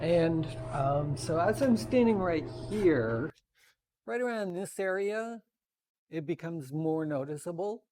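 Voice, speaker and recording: A man giving an informal, halting walk-around tech demonstration, thinking aloud as he goes. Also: on the high side, a little mellow. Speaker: presenter of a tech demo. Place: makerspace woodworking and tool shop. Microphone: wireless lavalier, sometimes built-in computer mic. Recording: wireless lav in noisy workshop.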